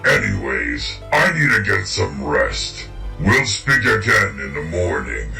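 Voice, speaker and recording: A brooding masked villain, acted by a man, low and gravelly, speaking with grave formality and dramatic swings in pitch. Music plays faintly under the roomy, echoing recording of this comic dub. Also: huge pitch swings, slow pace, sharp tone, heavily acted. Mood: brisk, brooding. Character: brooding, menacing male masked villain creature. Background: background music.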